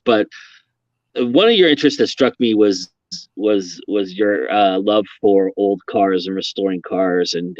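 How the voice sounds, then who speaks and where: A man's voice: lowish voice, no room sound, muffled recording. A man hosting a podcast interview, chatting casually, laughing often and rambling with restarts. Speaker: podcast host.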